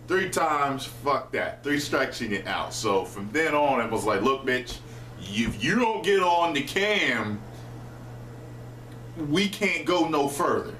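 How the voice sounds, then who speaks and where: A low-voiced man in his thirties rants to camera in slangy, profane street talk, full of asides to his viewers. He sits a few feet from the camera in a small kitchen, so the voice sounds roomy and a little distant, with a faint hiss under it. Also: bright, forceful tone. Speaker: vlogger. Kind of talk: casual storytelling to camera. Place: small home kitchen, indoors. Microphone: camera a few feet away. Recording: roomy echo, voice a bit off-mic, faint hiss.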